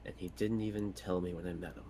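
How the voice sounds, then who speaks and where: A man's voice: low voice, fairly even intonation, quick pace, warm tone. A man playing in a tabletop game, talking casually in character with a dry, sarcastic manner. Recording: noticeable background room noise.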